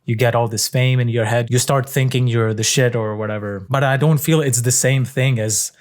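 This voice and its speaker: A young man from Lithuania, speaking English with a Lithuanian accent, talking to camera in a relaxed, reflective monologue that is full of fillers and casual swearing. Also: medium pitch, moderate pace. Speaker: content creator.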